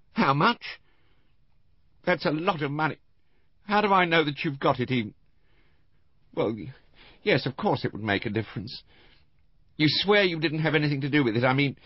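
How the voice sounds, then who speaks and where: A man's voice: a little high, slightly rough, no echo, highs cut off, voice pushed up. A man voicing a flustered, mercenary middle-aged woman who hesitates and keeps breaking off as she talks on the telephone in an audiobook. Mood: wary, interested. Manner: hesitant, wavering, breaking off and restarting.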